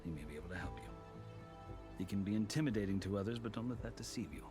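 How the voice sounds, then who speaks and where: Man acting a proud, deep-voiced warrior diplomat, music behind. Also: natural voice. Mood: earnest, measured. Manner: formal, measured, eloquent oratory. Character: proud, courteous veteran warrior turned diplomat. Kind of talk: dramatic video game cutscene acting.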